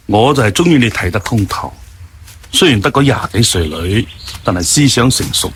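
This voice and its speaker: An expressive elderly fatherly boss. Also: on the low side, normal speed, a little hiss or hum. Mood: warm, affectionate. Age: older. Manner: gentle, reflective, affectionate. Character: elderly male boss, fatherly, tender, weary. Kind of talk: radio drama acting. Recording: background music under the voice.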